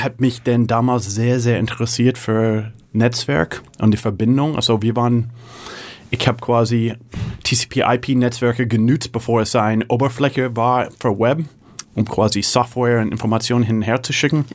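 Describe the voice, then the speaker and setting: American guy, middle-aged, halting german w/ lots of ums, regular pitch. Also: steady tone. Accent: American English. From San Francisco, USA. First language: English. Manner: halting German with frequent fillers and self-corrections. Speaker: guest, tech executive. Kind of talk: conversational interview answers.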